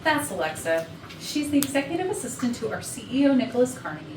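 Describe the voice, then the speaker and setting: A woman's voice: high-pitched, big pitch swings, fast. A woman playing a gossipy, catty, flirtatious office worker who confides suggestively to the audience in a comedic stage play. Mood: playful, confiding. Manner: chatty, suggestive, conspiratorial. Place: indoor rehearsal room. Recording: roomy rehearsal, distant mic, noisy background.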